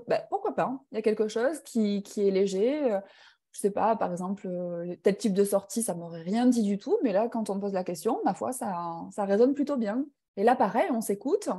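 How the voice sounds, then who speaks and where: A woman's voice: mid-range voice, warm tone. A woman leading an online personal growth class, speaking reflectively with frequent hesitations. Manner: reflective, hesitant with many fillers. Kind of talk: live online talk to participants.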